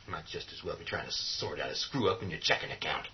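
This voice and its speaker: An actor playing a gruff, protective survivors' leader, firm and blunt, in a horror radio play. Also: male voice, rumbling low, lively intonation, quick pace. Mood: sardonic. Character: commanding, protective, gruff man leading survivors. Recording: dull, muffled audio.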